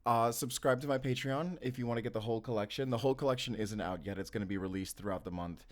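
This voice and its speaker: A man's voice: medium pitch, quick pace. A man casually addressing his listeners as an audio creator, easygoing and hesitant in his phrasing.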